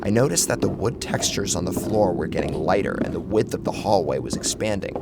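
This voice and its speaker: A man's voice: low-pitched, animated, a little fast, very noisy. A man reading a scripted first-person horror story as a narrator, with a dramatic, theatrical delivery. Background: loud music.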